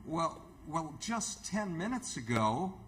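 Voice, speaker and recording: An actor playing an earnest, careworn hospital superintendent in radio theatre, halting and emphatic. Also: male voice, a little high, very animated. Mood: unnerved.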